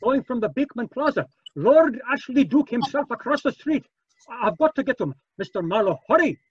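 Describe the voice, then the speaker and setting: Frantic, very high-pitched Scotsman. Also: very animated, normal speed, slightly rough, dry recording, muffled recording, full character voice. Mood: frantic, urgent. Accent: Scottish. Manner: urgent, agitated. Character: frantic Scotsman pleading for urgent help by telephone. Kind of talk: old-time radio detective drama acting.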